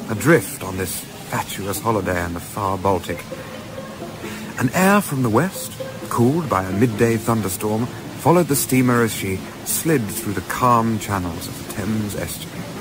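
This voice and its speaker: An actor playing a fastidious, weary gentleman in a radio play, with a measured, formal, literary way of speaking. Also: fairly low pitch. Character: fastidious, weary English gentleman narrator, reluctant holidaymaker. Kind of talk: radio play narration and dialogue. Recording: clearly noisy, ambient sound behind.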